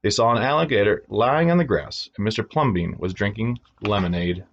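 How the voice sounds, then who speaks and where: A man reading a children's storybook aloud in an expressive storytelling style for young listeners. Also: lowish voice, a little fast, lo-fi sound, slight background noise.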